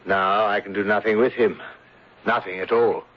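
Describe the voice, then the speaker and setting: A courteous villain of a radio mystery, played by a man, speaking rather low in formal, aggrieved phrases. He sounds plausible and falsely regretful. The broadcast is old and dull. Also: fairly even intonation, moderate pace, natural voice. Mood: mournful. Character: smooth, plausible gentleman villain, falsely sorrowful. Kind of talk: old-time radio drama acting.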